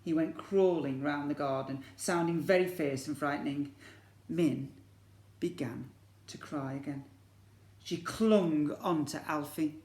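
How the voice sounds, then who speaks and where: A man's voice: fairly high pitch, unhurried, a little mellow, slight background noise. A man reading a storybook aloud to young children like a teacher, expressively and with questions to the listeners. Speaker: infant school teacher reading to pupils. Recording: echoey room.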